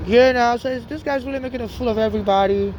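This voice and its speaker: A woman's voice: fairly high pitch, fast talker, a little mellow, slight background noise, rough recording. A woman from Nigeria with a mixed Nigerian and American accent, talking urgently in a rambling street monologue full of restarts and "you know". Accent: Nigerian-American mix.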